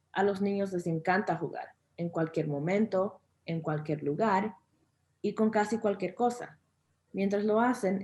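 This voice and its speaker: A woman's voice: fairly low pitch, mellow. A woman working as interpreter for a children's reading program, delivering a scripted translation. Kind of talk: scripted translation of a welcome talk.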